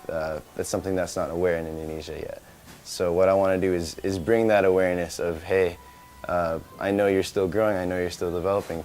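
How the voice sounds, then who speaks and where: Young American man, deep voice, halting casual interview answers, faint music behind. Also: darkish tone. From California. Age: young adult. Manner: halting, with many restarts, mixing in Indonesian words. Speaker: founder of a hat company.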